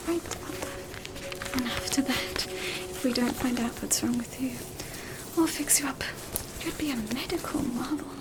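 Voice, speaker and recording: A woman with an Australian accent playing a polite, reassuring spooky hospital doctor in an ASMR roleplay, in a soft breathy whisper. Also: high voice, low-quality recording. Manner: reassuring, unhurried.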